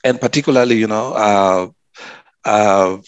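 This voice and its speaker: A man's voice: lowish voice, measured pace, clear, resonant tone, dry recording, muffled recording. A man, a public health officer answering interview questions earnestly, often restarting and repeating words. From South Sudan.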